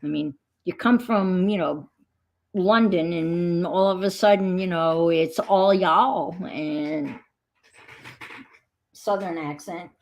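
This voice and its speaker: Gravelly, slow-talking Long Island woman. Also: on the low side. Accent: Long Island New York. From Long Island, New York. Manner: rambling, chatty, mutters to herself. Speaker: craft vlogger. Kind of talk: casual chat while painting.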